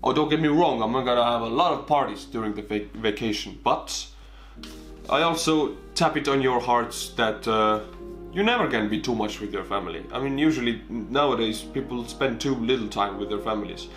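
A man's voice: mid-range voice, normal speed, roomy sound, faint music behind. A young man in his twenties with a thick Estonian accent, chatting animatedly to camera in a casual vlog from his bedroom. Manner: animated, big hand gestures, bursts of excitement. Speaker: YouTuber. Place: small bedroom indoors. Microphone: camera on desk.

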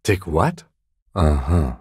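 An actor playing a composed, dry-witted, by-the-book middle-aged police detective in a video game, speaking in a measured, precise, deadpan way. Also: male voice, low voice, slightly rough, dry recording, exaggerated voice. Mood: dry, reserved. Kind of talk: video game character dialogue.

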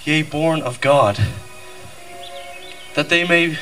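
A man's voice: a little high, slow pace, fairly bright tone. A young man playing an earnest scriptural rebel turned convert in an amateur outdoor pageant. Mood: earnest, repentant. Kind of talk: amateur stage pageant recitation. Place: outdoor stage at night. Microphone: handheld mic, filmed from audience. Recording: distant outdoor stage mic with music.

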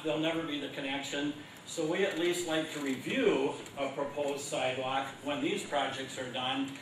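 A middle-aged man explaining a city project at a public meeting, speaking haltingly with many fillers. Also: fairly high pitch, a bit bright, slight background noise. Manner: halting, many fillers, gestures while standing. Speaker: city staff presenting to council. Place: large meeting room. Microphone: far room camera. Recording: very echoey room from far off.

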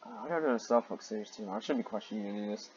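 A man's voice: on the low side, very fast, darkish tone, breathy edge, highs cut off. A man reading amateur fiction aloud with sarcastic commentary and exasperated, incredulous asides.

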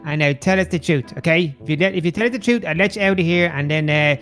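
A woman's voice: on the low side, fast talker, a bit bright, faint music behind, lightly acted. A woman playing a bossy, anxious young son who speaks urgently and repeats phrases in an amateur role-play story. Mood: threatening.

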